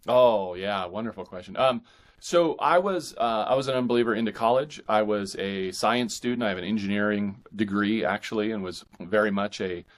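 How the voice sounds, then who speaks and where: A man in his forties with an American accent and a fairly low voice. He talks casually as a podcast guest, halting to think aloud, with open enthusiasm for what he describes. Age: 40s. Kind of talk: casual interview chat.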